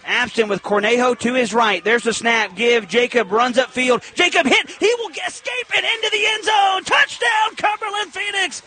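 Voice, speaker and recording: A very high-voiced American man calls football play-by-play for radio. His tone is bright and forceful, and he delivers short, punchy phrases that build toward big shouted calls. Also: moderate pace, hardly any pauses.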